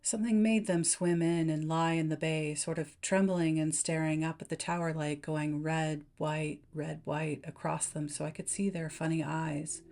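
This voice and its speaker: A woman's voice: low-pitched, fairly even intonation, moderate pace, hardly any pauses, darkish tone. A woman voicing a brooding, talkative male lighthouse keeper, musing in a folksy way as part of a story reading. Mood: musing, awed. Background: background music.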